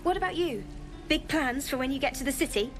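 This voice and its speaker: An optimistic young adventurer in a fantasy game. Her high voice is friendly and full of daydreams, over clear music. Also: expressive, a little fast. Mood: cheerful. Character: hopeful, dreamy young woman adventurer.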